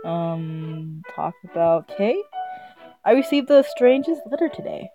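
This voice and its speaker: A woman's voice: on the low side, lively intonation, a little slow, over music, rough recording. A woman streaming a visual novel, reading it aloud casually, often stumbling over the words in a giggly way. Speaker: game streamer.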